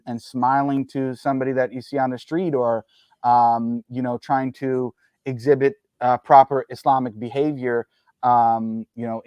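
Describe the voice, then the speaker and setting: A man's voice: mid-range voice, darkish tone, no echo. Male guest, New Jersey-raised, mid-range voice, cautious and hedging, dry recording. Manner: measured, qualifying, frequent ums and uhs. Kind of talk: careful conversational explanation.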